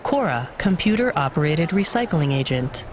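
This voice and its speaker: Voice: mid-range voice, sing-song, moderate pace, noisy background, natural voice. An actor playing an emotionless AI assistant in a video game, reciting data in a formal, precise way. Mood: clinical. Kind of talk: scripted game dialogue, reading data.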